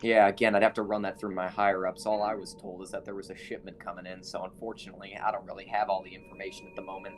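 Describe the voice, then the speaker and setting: A man's voice: deep voice, flat delivery, quick pace, few pauses, slight background noise. A man playing a tabletop roleplaying game, answering casually in and out of character.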